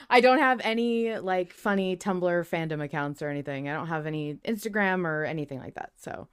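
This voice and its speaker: A woman's voice: lowish voice, normal speed, clean tone. A woman co-hosting a fan podcast in casual chat, emphatic and playfully repetitive. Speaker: podcast co-host.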